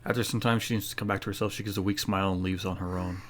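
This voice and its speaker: Low-voiced man casually commentating a game. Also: fairly even intonation, racing, a bit bright, a little hiss or hum. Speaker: gameplay streamer. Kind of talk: casual game playthrough commentary, reading along.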